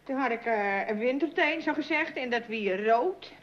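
Actress as a high-pitched nervous housemaid. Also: female voice, expressive, normal speed, lightly acted. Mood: nervous, chatty. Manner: hesitant, folksy, deferential. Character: nervous, fearful, chattering working-class housemaid. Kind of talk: old radio comedy acting. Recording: muffled, noisy old radio recording.

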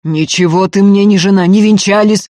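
A narrator playing a hot-tempered, rough young peasant man in a dramatic audiobook. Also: mid-range voice, normal speed, no echo, close to an everyday voice. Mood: bossy, furious. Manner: yells and hisses through gritted teeth.

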